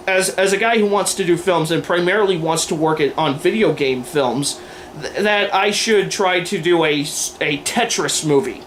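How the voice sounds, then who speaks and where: A man's voice: high-pitched, a bit bright, a little hiss or hum, low-quality recording. A man delivering opinion commentary to camera in an emphatic, wordy, informal way, like a host going through a list. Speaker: video host and aspiring filmmaker.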